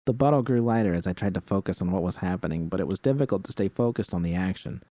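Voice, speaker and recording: A man narrates first-person fiction in a low, dark voice. It is a scripted audiobook read with a close, dry sound and no room around it. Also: quick pace, dry recording.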